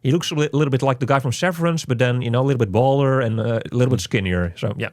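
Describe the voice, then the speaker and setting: A man's voice: mid-range voice, very fast, darkish tone, dry recording, studio quality. A man co-hosting a casual game review chat, rambling and joking with many restarts. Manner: rambling, jokey, self-interrupting. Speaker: co-host of a game review show.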